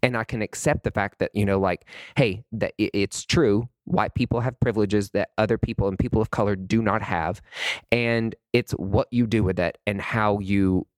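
A man's voice: fairly low pitch, normal speed, no room sound. A young man giving a sassy, emphatic rant to the camera, calling people honey and sweetie. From American South. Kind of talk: impassioned direct-to-camera rant.

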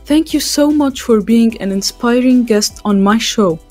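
A woman hosting a podcast, delivering prepared questions and scripted lines in a measured, formal way. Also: a little high, a little mellow, soft background music. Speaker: podcast host.

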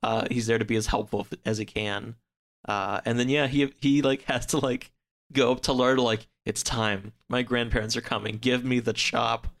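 A man speaking as a podcast guest, discussing a book in a casual, halting, conversational way. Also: mid-range voice, fairly even intonation, a bit bright.

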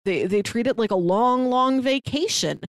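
A woman's voice: fairly high pitch, lively intonation, normal speed, no room sound. Chatty woman podcast host, dry sound. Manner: enthusiastic, chatty, recounts plots. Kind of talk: casual chat.

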